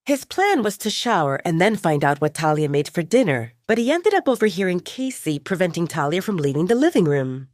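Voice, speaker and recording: A woman's voice: fairly low pitch, sing-song, fairly quick, hardly any pauses, a little mellow, no room sound, studio quality. A woman narrator telling a story in a dramatic audiobook style.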